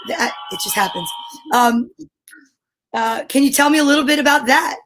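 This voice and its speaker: A woman's voice: sits high, moderate pace, fairly bright tone, soft background music, poor audio. A woman hosting a children's show, chatting casually with an informal, curious manner. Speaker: host of a children's story time show. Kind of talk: casual interview chat.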